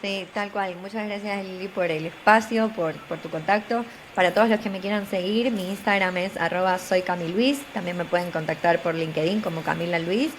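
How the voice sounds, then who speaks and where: A woman's voice: fairly low pitch, fairly quick, few pauses, slight background noise, poor audio. An earnest young woman from Argentina with a Buenos Aires accent, giving encouraging advice as an interview guest over a video call. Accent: Buenos Aires Spanish. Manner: earnest, encouraging, repeats phrases for emphasis. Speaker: interview guest, career coach. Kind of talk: motivational interview talk.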